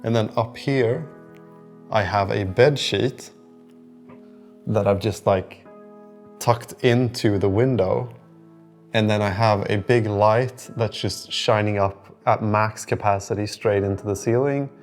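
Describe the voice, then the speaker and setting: A man speaks casually to his vlog audience in a low, gravelly voice. He takes his time and rambles informally, with music playing behind him. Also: slow pace. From lives in southern Sweden. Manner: rambling, informal, hesitant phrasing. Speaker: host of a startup-building video diary. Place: indoor room beside a window.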